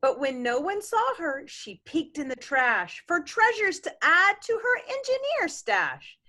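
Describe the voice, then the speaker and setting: A woman's voice: extremely high pitch, unhurried. A woman co-hosting a storytime, reading a children's picture book expressively and adding chatty asides. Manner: animated, with chatty asides to young listeners. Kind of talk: expressive children's read-aloud.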